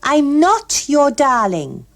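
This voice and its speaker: A prim young working woman played by a female audiobook reader, pitched high and swooping. She is polite and awkward, yet firm when flustered. The delivery is that of a character in a short story. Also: huge pitch swings, slow pace, creaky, heavily acted. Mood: indignant, firm.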